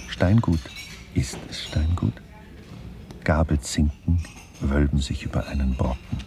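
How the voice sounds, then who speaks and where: Radio play narrator: extremely low, dark, mellow, slow and deadpan, observing in clipped fragments. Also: male voice, a little hiss or hum. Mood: detached. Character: detached male narrator minutely observing people.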